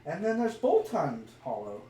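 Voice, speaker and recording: Male podcast co-host, casual and jokey, lightly higher pitch, echoey room with faint noise. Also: wildly swooping pitch, normal speed, warm tone. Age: adult, parent of a young son. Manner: jokey, crude, plays with words. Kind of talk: casual chat while opening trading card packs. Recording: roomy echo, off-mic, faint noise.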